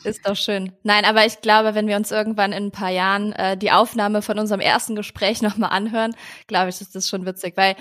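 A woman speaks as the host of an interview podcast. Her voice sits at a middle pitch. She talks in a friendly, conversational way to her guest. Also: level tone, fairly quick, a bit bright.

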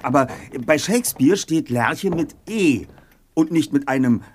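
A man's voice: a little high, lively intonation, unhurried. A man acting as a brainy, precise teenage boy detective leader in a German audio drama, articulate and pedantic. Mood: pondering, lecturing.

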